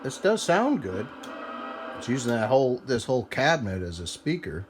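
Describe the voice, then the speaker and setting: A man's voice: mid-range voice, sing-song, normal speed. A man giving an informal, hands-on demonstration commentary at a repair bench, thinking aloud as he works. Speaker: radio repair hobbyist, video presenter. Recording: clear background noise.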